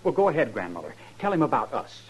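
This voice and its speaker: A man's voice: medium pitch, very animated, quick pace, husky. Spoiled, wildly swooping young man. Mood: haughty. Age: young adult. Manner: pleading, self-justifying, entitled. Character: spoiled, cowardly young grandson of a proud family. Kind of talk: 1950s radio western acting. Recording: noisy old radio recording.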